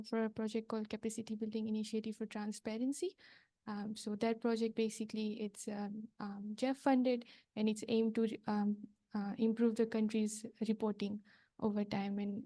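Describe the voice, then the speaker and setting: Soft-spoken woman from Fiji, hesitant. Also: fairly high pitch. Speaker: project coordinator. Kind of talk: workshop presentation without slides.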